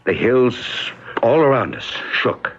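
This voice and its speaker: An actor playing an earnest, hesitant small-town mayor in a classic radio drama, speaking deliberately and haltingly, with grave hope. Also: male voice, mid-range voice, sing-song, a bit bright, slightly rough, heavily acted. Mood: grave, wondering. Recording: dull vintage radio recording.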